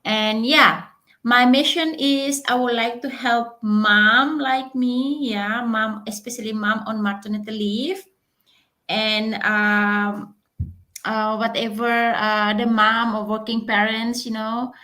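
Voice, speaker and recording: Bright woman from Indonesia. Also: a little high, fairly even intonation, takes it slowly. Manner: simple non-native English with fillers. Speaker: guest entrepreneur. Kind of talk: live online panel. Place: home in Austria.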